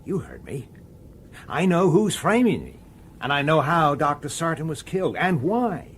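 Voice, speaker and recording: Radio drama detective: determined, insistent and cornered, highly varied intonation, slight background noise. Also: male voice, big pitch swings, normal speed. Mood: defiant. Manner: insistent, accusatory, clipped sardonic questioning. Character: dogged, defiant male police detective, framed and determined.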